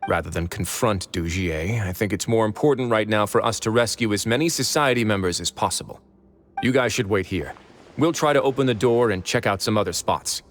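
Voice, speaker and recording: An actor playing a calm, deliberate man taking charge in scripted video game dialogue. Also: lowish voice, a little hiss or hum, lightly acted. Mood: composed, serious. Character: calm, measured male leader taking charge.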